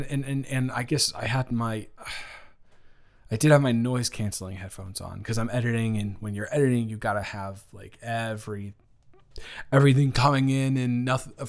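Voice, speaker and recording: A man's voice: mid-range voice, natural voice. A man playing a flustered, befuddled young guy in an improvised roleplay audio, stammering and rambling as he talks to the listener. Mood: confused, rambling. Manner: stammering, rambling, awkwardly backtracking.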